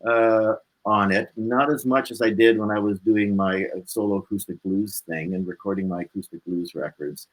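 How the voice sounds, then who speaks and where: A man's voice: lowish voice, steady tone, normal speed, few pauses, poor audio. A man speaking as a musician guest in a casual interview, telling stories in a rambling, easygoing way. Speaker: musician guest on a talk show.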